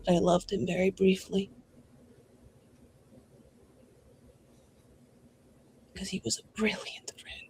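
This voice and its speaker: A man playing a grieving, menacing noble who speaks slowly and deliberately in broken phrases. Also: high voice, little pitch movement, a little mellow, a little hiss or hum. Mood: tender grief.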